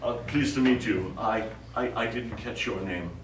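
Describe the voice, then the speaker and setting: A man's voice: medium pitch, normal speed, distant mic, natural voice. A man playing a fawning, pompous and awkward trial lawyer in a live stage play, often stammering. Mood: flustered, fawning. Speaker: stage actor. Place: small theatre studio, recorded from the audience. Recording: echoey stage recording from afar, faint noise.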